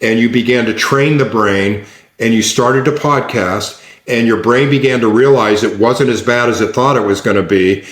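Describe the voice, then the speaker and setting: A man coaching as a guest, explaining things in a measured, patient way and repeating phrases. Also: medium pitch, a bit bright. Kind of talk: explaining in an interview. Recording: echoey room.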